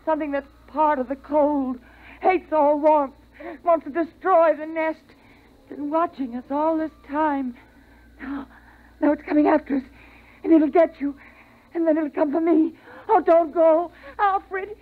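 An actress playing a fragile, fearful, unhinged mother in 1950s radio melodrama, plaintive, dramatic and drawn-out. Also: high-pitched, steady tone. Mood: fearful pleading. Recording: tinny band-limited 1950s radio recording.

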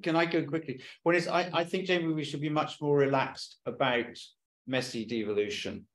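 A man's voice: a little high, normal speed, a bit bright. A man speaking on a discussion panel, plain-spoken and making his points through everyday examples. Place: meeting room in Whitehall. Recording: echoey meeting room.

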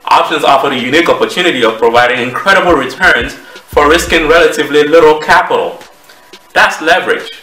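A man in his thirties with a Cameroonian accent, giving a measured, explanatory presentation to camera as a trading educator. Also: a little high, bright, forceful tone, soft background music. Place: small home office, indoors. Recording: roomy echo, distant microphone.

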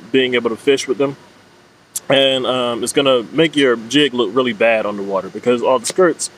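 A man's voice: medium pitch, low-quality recording. A man in his thirties giving an informal, plain-spoken and instructive product explanation to camera as a fishing vlogger. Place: outdoors under leafy trees. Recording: clear steady outdoor background noise.

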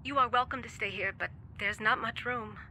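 An earnest, bright-voiced believing friend. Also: female voice, a little high, steady tone, fairly quick, a little hiss or hum. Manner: earnest, pleading.

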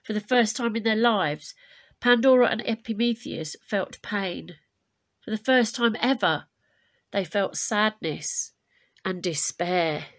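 A woman teacher reading a children's picture book aloud expressively, with deliberate, dramatic emphasis on key words. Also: mid-range voice. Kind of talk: expressive storybook read-aloud.

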